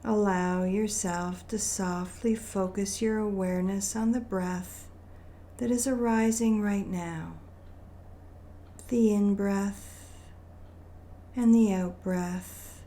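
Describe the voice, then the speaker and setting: A woman leading a guided meditation in a gentle, soothing manner, with deliberate phrasing and long pauses. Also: medium pitch, fairly even intonation, slight background noise.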